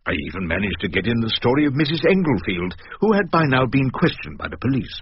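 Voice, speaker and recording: A man's voice: medium pitch, big pitch swings, fast, breathy edge. An actor playing a wry, stubborn older English barrister in a radio drama, rhetorical and drily pompous as he argues and narrates. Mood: wry narrating. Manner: rhetorical, pompous, dryly ironic. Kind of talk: radio drama acting and first-person narration. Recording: muffled radio recording with faint noise.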